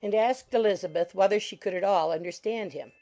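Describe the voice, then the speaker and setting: A woman's voice: mid-range voice, a little fast, warm tone. A woman narrating a scripted, dramatized audiobook of a classic novel. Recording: music bed under the voice.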